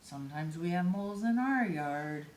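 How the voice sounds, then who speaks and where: An older woman, a grandmotherly storyteller, reads to young children with expressive, drawn-out words and chatty asides. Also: on the low side, slight background noise. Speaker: storyteller grandmother reading to kids. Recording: echoey room.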